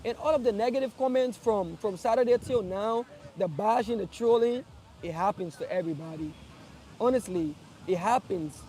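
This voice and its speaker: A man's voice: sits high, moderate pace, warm tone. A young man from Liberia, speaking earnestly to his followers in a casual live address, often repeating and restarting phrases. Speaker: streamer.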